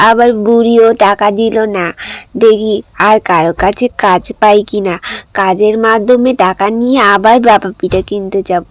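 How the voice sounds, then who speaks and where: A woman's voice: medium pitch, no room sound, natural voice. A woman voicing a poor, eager little village girl in a cartoon, with a wistful, pleading, childlike manner. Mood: dejected, pleading.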